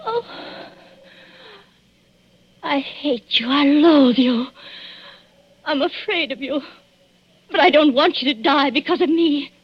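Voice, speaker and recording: An actress playing an anguished, defiant wife in a radio horror drama, speaking with dramatic, emphatic repetition. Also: female voice, sits high, lively intonation, takes it slowly, long pauses, no echo. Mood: anguished, desperate. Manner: dramatic, emphatic, repeating herself. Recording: muffled old radio drama recording.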